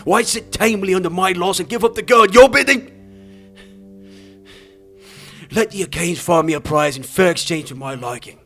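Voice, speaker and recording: A man's voice: high-pitched, fast, fairly bright tone, voice pushed up. A man playing an enraged, arrogant king in a dramatized epic audiobook, declaiming with threats and haughty command, over music. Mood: threatening, imperious.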